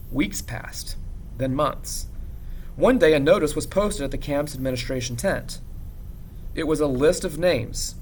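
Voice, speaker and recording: A man reads a story aloud like a teacher, in expressive narration with measured, dramatic pauses and emphasis. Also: fairly high pitch, a little hiss or hum. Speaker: teacher reading a novel aloud. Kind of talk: expressive audiobook-style narration.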